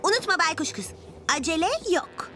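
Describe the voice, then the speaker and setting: High-voiced earnest girl superhero. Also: expressive, normal speed, very noisy. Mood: self-restrained. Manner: earnest, instructing. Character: confident six-year-old girl owl superhero, leader. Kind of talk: dubbed children's cartoon acting. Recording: cartoon music behind.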